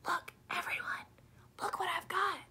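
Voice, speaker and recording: A woman voicing an eager, excitable little mouse schoolgirl from a storybook, emphatic and showy. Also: very high-pitched, a little slow, whispered, voice pushed up, full character voice. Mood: fierce, excited.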